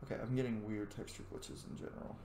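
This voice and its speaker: A man's voice: lowish voice, flat delivery, fast. A man giving casual gameplay commentary, muttering and thinking aloud to himself as he plays a role-playing game. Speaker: gamer playing a role-playing game on camera or stream. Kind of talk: casual gameplay commentary mixed with reading dialogue choices. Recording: roomy echo, faint background noise.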